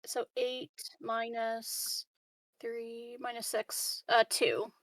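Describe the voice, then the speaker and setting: A woman's voice: a little high, level tone, drawn-out words, dry recording. A woman taking part in a tabletop game as a player, chatting casually.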